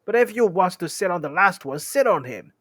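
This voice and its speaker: A man voicing a blunt, argumentative male troll from a storybook, loud and contradicting. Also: high voice, big pitch swings, fairly quick, breathy edge, dry recording, pitched above the performer's own voice, full character voice.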